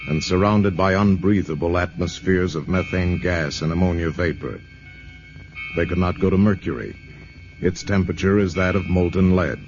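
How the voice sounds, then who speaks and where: A man with a very deep voice narrates in a grave, measured way. Faint music plays under him. The old broadcast recording sounds dull. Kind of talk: scripted dramatic narration.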